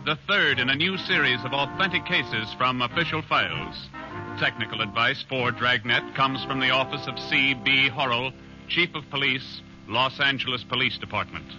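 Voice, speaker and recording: A man's voice: medium pitch, moderate pace. Casting: formal male network announcer, muffled vintage radio with music behind. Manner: formal, even delivery. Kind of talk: scripted broadcast announcement. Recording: dull old radio broadcast with music.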